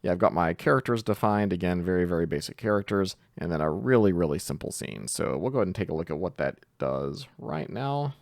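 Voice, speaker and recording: Low-voiced man with a creaky edge. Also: lively intonation, a little fast, hardly any pauses, no echo, clean studio sound. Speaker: tutorial host, coding instructor. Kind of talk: informal screen-share software tutorial.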